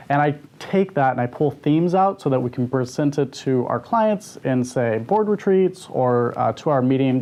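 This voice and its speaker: A man's voice: medium pitch, warm tone. Upbeat young man from Northern California. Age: young adult. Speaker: graduate student intern. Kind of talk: interview testimonial.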